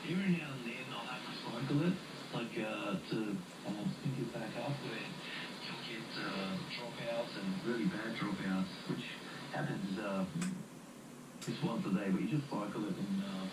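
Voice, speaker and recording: Aussie guy on ham radio, lowish voice, far off mic, roomy, loud hiss behind. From Australia. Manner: hesitant, explains technical setup with many fillers. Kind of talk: casual radio chat. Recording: distant, echoey, loud noise.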